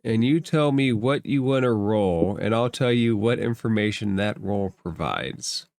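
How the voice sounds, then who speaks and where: A man's voice: on the low side, expressive, a little slow, vocal fry, darkish tone, dry recording, clean studio sound. A man running an improvised tabletop roleplaying game as game master, talking in an informal, conversational way.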